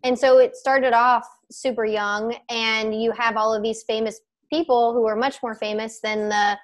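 A young woman in her mid-twenties chats on a podcast through earbuds on a video call, her voice sitting a little high. She tells stories in an animated way, swearing freely as she goes. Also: moderate pace. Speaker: podcast co-host. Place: home room with bookshelf, indoors.

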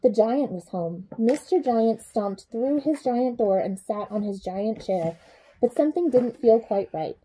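A young adult woman reading a children's picture book aloud in an expressive way, speaking to young children. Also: fairly high pitch, unhurried, breathy edge, poor audio. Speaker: childcare supervisor reading a bedtime story.

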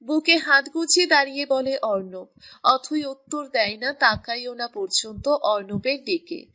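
A woman's voice: high voice, a little slow, bright, forceful tone. A woman reading scripted narration for a romantic story as its storyteller.

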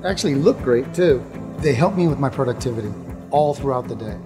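A man's voice: medium pitch, animated, fast. A man giving a matter-of-fact testimonial about his work day. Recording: ad with music bed.

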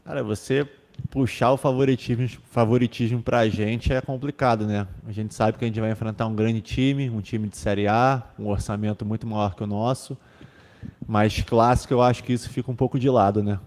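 A man's voice: mid-range voice, steady tone, normal speed, darkish tone, in a large hall. A young man, a football defender, answering press questions in a casual way, with many fillers and restarts, into a table microphone. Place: club press room with sponsor backdrop. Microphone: table microphone on stand.

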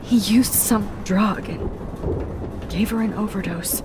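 An actress in a video game playing a sympathetic young American student, speaking in a gentle, hesitant, somber way. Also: female voice, takes it slowly, noisy background, close to an everyday voice. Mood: sorrowful. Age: young adult. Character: somber, sympathetic young female student bearing bad news. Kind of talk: dramatic video game acting. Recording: loud rumbling storm ambience behind.

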